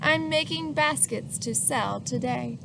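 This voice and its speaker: A woman reading a children's picture book aloud in an animated way, stopping to ask the listener questions and acting out the sneezes. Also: high voice, unhurried, creaky, background noise.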